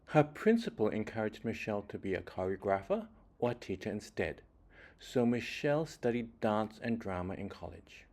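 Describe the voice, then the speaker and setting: Male children's storyteller with a fairly low voice, reading expressively with deliberate phrasing and varied, dramatic emphasis. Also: sing-song, darkish tone. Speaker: children's book reader.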